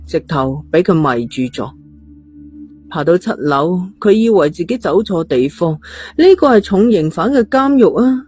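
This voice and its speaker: Mellow storyteller narrating over music. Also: male voice, fairly high pitch. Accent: Hong Kong Cantonese. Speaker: audiobook narrator. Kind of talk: dramatic Cantonese horror novel narration.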